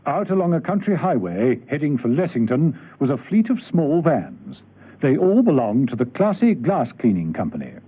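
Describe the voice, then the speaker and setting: A man's voice: medium pitch, very animated, moderate pace, slightly rough. A man narrating a spy serial in a dramatic scripted radio style. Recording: old radio broadcast, phone-like band, faint music.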